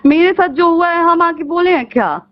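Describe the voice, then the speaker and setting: Woman, high voice, eastern Hindi-belt accent, aggrieved and insistent, pleading over a dull lo-fi phone recording. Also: moderate pace. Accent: eastern Hindi belt, Bhojpuri region. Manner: insistent, aggrieved, repeating questions and demands. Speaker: caller in a recorded phone call. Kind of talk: emotional phone conversation, arguing and pleading. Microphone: phone call.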